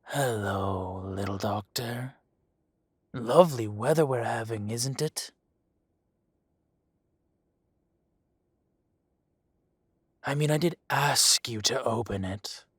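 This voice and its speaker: A smug dragon crime lord, drawls slowly with a husky, breathy voice. He teases and threatens in the same unhurried tone, often sinking into a low whisper. The sound is close and dry. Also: no room sound. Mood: mocking. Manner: slow, smug, teasing, drops to whispers. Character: smug dragon mafia boss, teasing and menacing. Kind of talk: audio roleplay acting.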